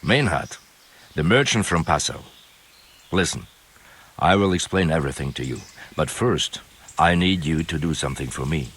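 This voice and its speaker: An actor playing a grim, guarded, world-weary knight with a formal, measured and ominous way of speaking, in a video game. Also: male voice, rumbling low, expressive, a bit bright. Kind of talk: dramatic video game acting. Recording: music behind the voice.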